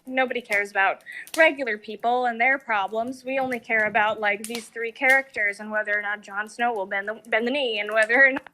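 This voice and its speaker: A woman with a bright, slightly high voice talks casually as a discussion guest. She is sardonic and opinionated, breaking into mocking paraphrases. The recording has faint background noise. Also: a little fast. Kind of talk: casual opinionated chat about a TV series.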